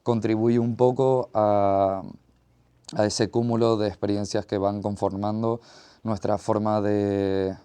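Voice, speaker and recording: A man with a Canarian Spanish accent giving a reflective solo podcast monologue in a measured, thoughtful way, pausing mid-phrase. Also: lowish voice, warm tone, clean tone. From Tenerife, Canary Islands, Spain. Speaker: podcast host.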